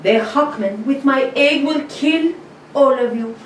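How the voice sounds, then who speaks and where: A woman voicing a haughty, scheming princess with an imperious, drawn-out delivery in a comic table read of an old radio serial. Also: high-pitched, reverberant, distant mic, slight background noise.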